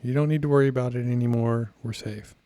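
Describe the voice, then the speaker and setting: A man acts a stoic young fugitive in an audio drama. He is understated, wry and soothing, with a mid-pitched voice in a dry, close recording. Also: fairly even intonation, fast, dark tone, dry recording, natural voice. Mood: reassuring. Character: easygoing, stoic injured young fugitive, reassuring.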